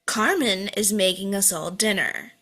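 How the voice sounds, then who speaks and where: Woman acting a sharp-voiced, bitter, scolding mother. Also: mid-range voice, measured pace. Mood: needling. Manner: cutting, scolding, dramatic. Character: bitter, volatile, foul-mouthed mother berating her grown children. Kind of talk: script table read.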